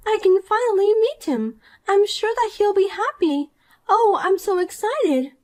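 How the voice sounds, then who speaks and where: A woman's voice: very high-pitched, animated, a little slow, darkish tone, pitched above the performer's own voice. A woman voicing a shallow, selfish, jealous young woman in a dramatized story, accusing and self-pitying. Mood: smug.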